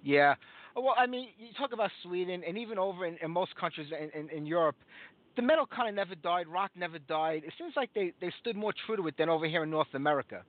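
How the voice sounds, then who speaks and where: A man's voice: high-pitched, expressive, fast talker. A man hosting a live rock radio show, chatting casually and easily with fillers as he interviews a guest. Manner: easygoing, chatty, with frequent fillers. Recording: lo-fi phone-like sound.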